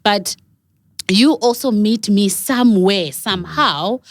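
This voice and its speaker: Woman, measured reasoning, halting restarts, dry sound. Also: medium pitch, no room sound. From South Africa. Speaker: podcast panelist. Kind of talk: casual chat.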